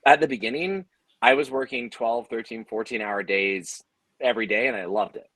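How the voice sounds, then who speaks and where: A man's voice: medium pitch, animated, moderate pace, creaky, fairly bright tone, no room sound, rough recording. A man speaking earnestly and conversationally on a panel, explaining how he leads his team. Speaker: audio drama company leader on a panel.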